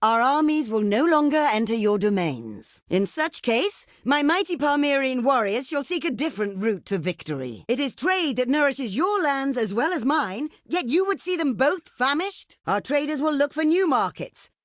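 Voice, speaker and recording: A woman's voice: sits high, very animated, normal speed, hardly any pauses, no echo, clean studio sound. A woman playing a proud, imperious ancient desert queen for a video game, speaking in a regal, theatrical, declamatory way. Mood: cool disappointment. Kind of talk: video game diplomacy voice lines.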